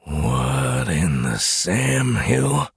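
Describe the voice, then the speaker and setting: A man playing a gruff, wisecracking old man in a fantasy audiobook, with a folksy, grumbling, irreverent way of talking. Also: low voice, sing-song, very slow, no room sound, studio quality, exaggerated voice. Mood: baffled. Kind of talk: fantasy audiobook character acting.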